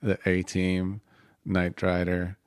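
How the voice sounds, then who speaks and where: A middle-aged man from Israel whose first language is Arabic, speaking as an interview guest in a halting way with fillers and restarts. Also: sits low, completely flat delivery, clear, resonant tone, no room sound, studio quality. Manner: halting, restarts with fillers, short agreeing answers. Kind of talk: casual interview.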